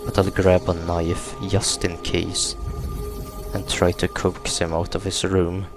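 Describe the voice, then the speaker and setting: Male horror game narrator, deep and even-toned, measured reading of on-screen text, clear game music underneath. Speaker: gaming YouTuber reading a visual novel aloud. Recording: music behind the voice.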